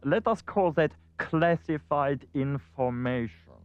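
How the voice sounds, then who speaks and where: Pompous, swooping villain. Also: male voice, fairly high pitch, expressive, slow, clean tone, dry recording, muffled recording. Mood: coolly menacing. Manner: precise, haughty. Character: pompous scheming villain with a cold, logical mind.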